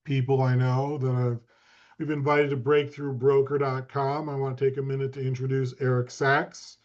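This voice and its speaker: A man hosts a webinar and introduces a guest in a flat, level voice. The audio is dull and lo-fi. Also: medium pitch, normal speed. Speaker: webinar host.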